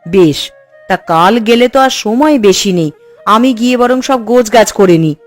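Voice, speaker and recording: A woman playing a fretful, scolding housewife and doting mother in a cartoon, exasperated and coaxing in an expressive way. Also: fairly high pitch, normal speed, faint music behind, lightly acted. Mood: brisk, practical. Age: adult. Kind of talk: cartoon voice acting.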